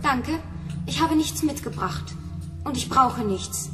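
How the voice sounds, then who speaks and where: An aloof, guarded stranger in a radio play. Her voice is high and expressive, in an echoey room with music behind. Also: takes it slowly. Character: guarded, aloof female newcomer, secretly a fugitive. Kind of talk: radio drama acting.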